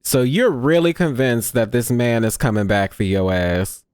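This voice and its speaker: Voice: medium pitch, normal speed, darkish tone, smooth, no room sound, clean studio sound. A game streamer giving casual playthrough commentary in a blunt, sardonic, sweary manner.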